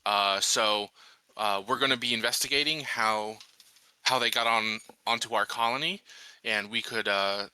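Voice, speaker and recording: A man playing a tabletop roleplaying game, improvising casually in a hesitant, halting way. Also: on the low side, fairly even intonation, sharp tone, clear, resonant tone.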